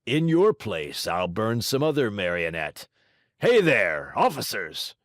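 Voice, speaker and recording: A man voicing a gruff, bearded male puppet-show director with a hoarse, brusque way of talking. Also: fairly low pitch, wildly swooping pitch, takes it slowly, no echo, studio quality, heavily acted.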